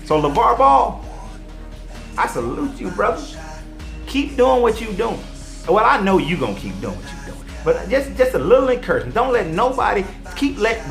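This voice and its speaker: Emphatic man in his forties preaching. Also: fairly high pitch. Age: 40s. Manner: preachy and emphatic, talks straight to viewers. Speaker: online commentator and life coach. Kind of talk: motivational monologue.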